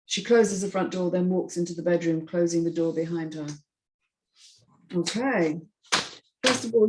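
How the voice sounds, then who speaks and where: Woman, lowish pitch, reads stage directions, moderates feedback, host. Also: darkish tone, rough recording. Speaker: host of script read and feedback session. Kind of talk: reading screen directions aloud, moderating discussion.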